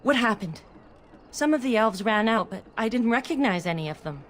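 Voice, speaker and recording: A woman's voice: a little high, expressive, moderate pace, a little hiss or hum, natural voice. An actress playing a worried, determined townswoman in a fantasy game, earnest and urgent. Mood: worried, bewildered. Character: worried, determined female city-dweller seeking missing people.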